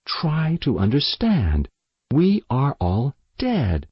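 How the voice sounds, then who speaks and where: A man acts out a haunted young girl from a ghost story, intense and desperate. The voice is raised in pitch, slightly husky, and insistent in its pleading. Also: big pitch swings, takes it slowly, no echo, dull audio, full character voice. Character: desperate haunted girl insisting her family are dead.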